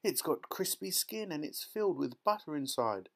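An excitable, boastful little girl from a storybook, acted by a man. The voice is lifted high and gushes along in a headstrong, gum-chewing way. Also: moderate pace. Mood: delighted. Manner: gushing, excitable. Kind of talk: dramatised children's storybook reading.

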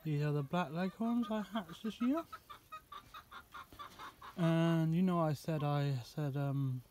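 A man talking casually and haltingly in an unscripted vlog as a hobby chicken keeper, outdoors on a phone. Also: fairly high pitch. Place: outdoors in a grassy chicken run. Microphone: handheld phone, varying distance. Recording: noisy outdoor phone recording, chickens clucking. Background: loud outdoor noise, clucking and crowing chickens.

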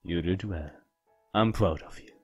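A man reading a visual novel as a cold, calm young male killer, quiet, dramatic and subdued. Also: deep voice, a little slow, slightly rough, no room sound, faint music behind, pitched below the performer's own voice, exaggerated voice. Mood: quiet, brooding.

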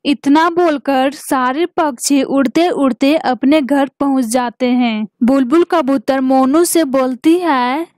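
High-voiced woman storyteller. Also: slow, few pauses, a little mellow, no room sound. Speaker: narrator. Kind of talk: scripted children's story narration.

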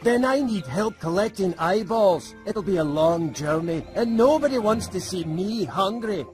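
An actor voicing a grumpy but good-hearted ogre with a gruff Scottish accent and a sardonic manner. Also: male voice, sits high, expressive, moderate pace. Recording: loud game music behind. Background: game music and sound effects.

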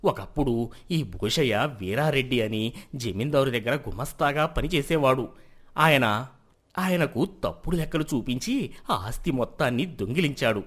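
A man's voice: mid-range voice, expressive, moderate pace, fairly bright tone. A man voicing an honest villager and adoptive father in a moral story, respectful but plainly accusing. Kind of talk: dramatised moral story acting.

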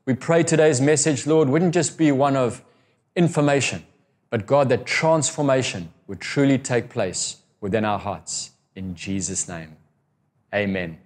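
A middle-aged man preaching a recorded sermon to camera, earnest and expressive, stretching key words for emphasis. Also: mid-range voice, measured pace. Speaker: pastor. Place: indoor room by a window.

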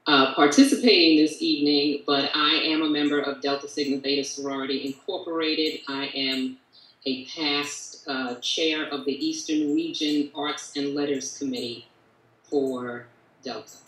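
Middle aged actress on a call, low sharp voice, super echoey. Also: female voice, fairly even intonation, normal speed. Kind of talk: panel self-introduction. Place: small home office. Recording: very echoey video call from room.

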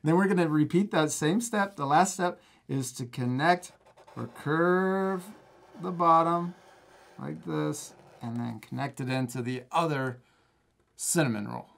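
A man's voice: on the high side, measured pace, vocal fry. A man in his thirties giving a casual step-by-step drawing lesson for children, encouraging and often chuckling. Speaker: drawing instructor and host. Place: indoor studio, at a table.

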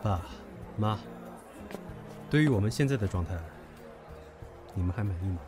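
A man's voice: on the low side, lively intonation, moderate pace, close to an everyday voice. An actor playing a brash, possessive, hot-tempered young man in an audio drama, goading and domineering. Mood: taunting. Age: young adult. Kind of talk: Chinese audio drama acting. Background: background music.

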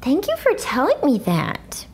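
A woman's voice: sits high, huge pitch swings, unhurried, a little mellow, voice pushed up, heavily acted. A woman voicing a mischievous little schoolgirl with a blunt, childlike manner in a storybook read-aloud. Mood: mock innocent. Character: frank, mischievous little schoolgirl, quick to complain.

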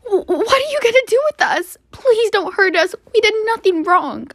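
A woman playing an excitable, easily frightened young camper girl in a dramatic roleplay. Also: extremely high pitch, normal speed, no room sound, studio quality, pitched above the performer's own voice, exaggerated voice. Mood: scared, pleading. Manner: pleading, breathlessly dramatic.

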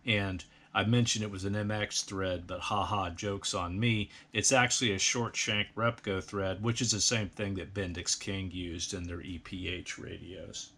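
A middle-aged American man narrating an instructional bench review in a measured, precise technical manner. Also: low voice, steady tone, pushed and bright. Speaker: host, radio equipment reviewer. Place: indoor electronics workbench.

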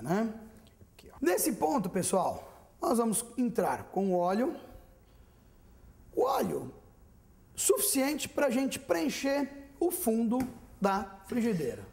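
Lively man demonstrating a recipe. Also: high-pitched, creaky. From Brazil. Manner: lively, chatty, addressing viewers directly. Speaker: TV cooking show chef. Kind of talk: cooking demonstration. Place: studio kitchen set. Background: frying and sizzling.

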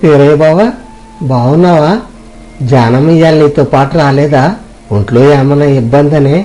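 A man's voice: fairly high pitch, dark tone. A man voicing poor older village men in a dramatized moral story, with earnest, rustic dialogue. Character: poor older village washerman and his barber friend.

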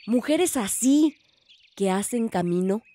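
Actress as a hopeful young village narrator. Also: female voice, on the high side, expressive, slow pace, dark tone. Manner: earnest, reflective. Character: hopeful young village woman narrating her own story. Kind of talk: radio drama narration. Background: music.

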